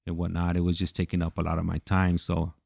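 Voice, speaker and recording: A man chatting casually as he hosts a livestream, halting, repeating himself and losing his thread. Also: low-pitched, monotone, creaky, a little mellow, dry recording, studio quality. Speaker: livestream host. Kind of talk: casual rambling livestream chat.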